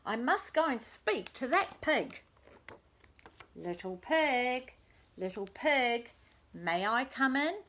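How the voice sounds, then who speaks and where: A woman voicing a big, friendly, soft-hearted storybook wolf who speaks politely and pleadingly. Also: fairly high pitch, big pitch swings, slow, pauses often, full character voice. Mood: gently coaxing. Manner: gently pleading, exclaiming politely. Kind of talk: children's storybook character voice.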